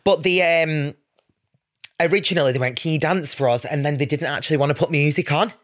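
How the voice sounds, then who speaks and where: A man's voice: a little high, a little fast. Jokey young man telling anecdotes. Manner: jokey, self-mocking, giggly. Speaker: podcast guest, reality TV personality. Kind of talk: casual chat, telling anecdotes.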